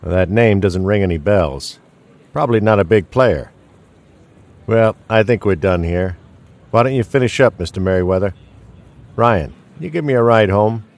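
Weary, low-voiced veteran police detective played by a man. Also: moderate pace, long pauses, darkish tone, lightly acted. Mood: gruff, businesslike. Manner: blunt, dry, commanding. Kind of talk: audio drama acting.

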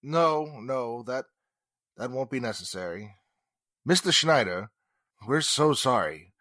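Male actor as embarrassed townsman, slow pace, close dry sound, apologetic, radio play. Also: medium pitch, expressive, sharp tone, no room sound. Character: embarrassed, apologetic townsman, hesitant but decent. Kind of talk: 1940s radio drama acting.

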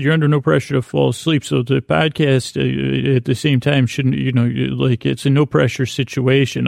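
A man with a creaky voice hosting a bedtime podcast in a meandering, improvised monologue, halting and often trailing off mid-sentence. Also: mid-range voice, a little mellow, no echo, studio quality. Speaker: podcast host.

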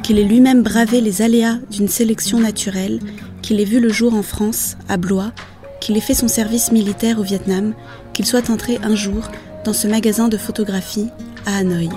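A woman reading a literary passage aloud, slow and deliberate. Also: mid-range voice, fairly even intonation, warm tone, faint music behind. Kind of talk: literary reading over music.

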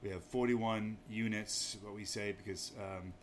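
Man, American accent, lowish voice, casual interview guest, understated, hesitant delivery, light background noise. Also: steady tone, a little slow. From Maine, United States. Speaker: interview guest, inn owner. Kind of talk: casual chat. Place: small pub off an inn lobby.

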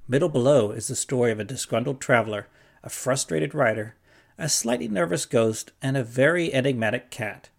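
Wry storyteller, scripted narration, medium pitch, creaky gravel, audio drama. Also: male voice, animated, a little fast.

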